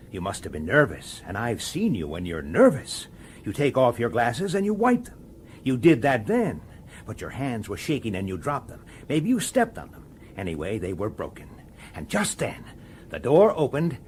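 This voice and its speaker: An actor playing a weary, dogged middle-aged police detective in a science fiction radio drama, pressing and intense. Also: male voice, medium pitch, darkish tone, a little hiss or hum. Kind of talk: radio drama acting with first-person narration.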